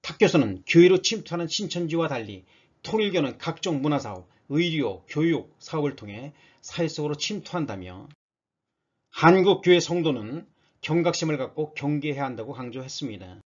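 A man with a somewhat high-pitched voice reads scripted news as a narrator. His delivery is formal, like an announcer reading out a report. The voice-over sounds slightly muffled and lo-fi. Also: expressive, moderate pace, a little mellow, breathy edge.

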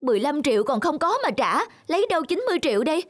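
A woman playing an earnest, stubborn young village wife in an animated moral tale. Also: high voice, rapid-fire. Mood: indignant. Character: indignant, stubborn young married village woman, a sister-in-law.

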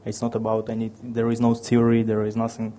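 Man, 30s, Russian accent, dark mellow voice, flat delivery, halting tech presenter. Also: lowish voice. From Ukraine. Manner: halting, many restarts and fillers. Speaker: conference speaker. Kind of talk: technical conference presentation.

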